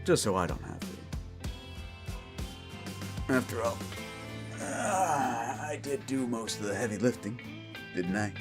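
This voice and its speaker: A man playing a gruff, wisecracking demon-born monster hunter in an action horror audio roleplay, talking in blunt tough-guy slang. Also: medium pitch, animated, slow, long pauses. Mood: wry, relaxed. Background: music.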